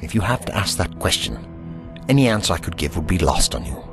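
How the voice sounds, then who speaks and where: An actor playing a calm, wry alien time traveller held prisoner, dry and unruffled in a fan audio drama. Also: male voice, low voice, fairly quick, vocal fry, exaggerated voice. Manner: dry, unruffled, quietly cutting. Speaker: the Doctor, captured time traveller. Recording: audio drama with music underneath.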